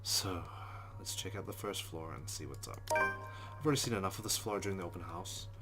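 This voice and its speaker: Low-voiced man reading game narration. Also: fairly quick, loud music behind the voice. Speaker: let's play host. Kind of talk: reading visual novel narration aloud.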